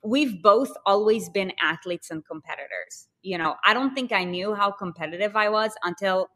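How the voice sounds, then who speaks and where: A woman from Israel, a podcast guest talking in animated, emphatic bursts full of fillers. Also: normal speed. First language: Hebrew. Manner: animated and emphatic, with sudden jumps in pitch and filler-heavy bursts. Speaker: podcast guest, fitness business co-founder. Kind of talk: casual interview chat.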